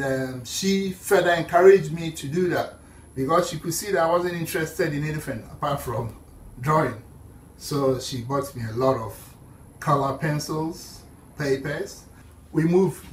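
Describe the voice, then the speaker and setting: A man's voice: medium pitch, recorded from a distance, a little hiss or hum. A middle-aged man from Ghana with a Ghanaian accent, telling his life story to camera, rambling with many restarts. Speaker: artist. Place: indoor room with paintings behind. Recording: roomy echo, speaker set back from mic.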